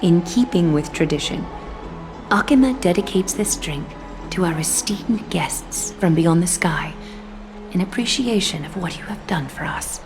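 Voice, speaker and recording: A regal, creaky-voiced noblewoman. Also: fairly low pitch, lively intonation. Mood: stately, gracious. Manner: formal, measured, stately. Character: regal, composed noblewoman leader of a fantasy city. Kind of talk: scripted video game voice acting. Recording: music behind the voice.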